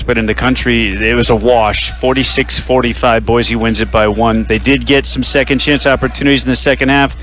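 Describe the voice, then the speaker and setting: Radio sportscaster reading game stats. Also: male voice, mid-range voice, fairly bright tone, rough recording. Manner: stat-heavy, measured delivery with sponsor reads. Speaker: radio play-by-play announcer. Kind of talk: radio postgame broadcast.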